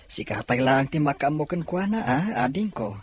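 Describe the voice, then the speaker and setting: A woman's voice: sits low, very animated, moderate pace, husky, heavily acted. A woman playing a caring, encouraging father in an audio drama. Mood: grateful, earnest. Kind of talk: audio drama dialogue in Ilocano.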